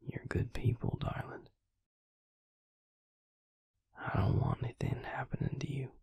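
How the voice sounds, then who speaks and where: Man playing a hushed, gravelly, protective vampire lover. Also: mid-range voice, little pitch movement, moderate pace, dry recording. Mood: tender, protective. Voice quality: husky, breathy, gravelly near-whisper. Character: protective, devoted male vampire lover, gentle and patient. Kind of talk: intimate romantic audio roleplay.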